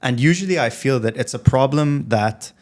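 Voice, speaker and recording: A man's voice: mid-range voice, animated, normal speed. A man in his thirties hosting an interview, attentive, prompting his guest and summing up his story. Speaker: podcast host. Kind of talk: casual interview.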